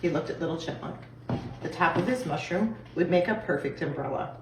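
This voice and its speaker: A woman reading a children's story aloud in an expressive style, recorded in an echoey room. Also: deep voice, fairly quick. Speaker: storybook reader. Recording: echoey room, distant mic, faint noise.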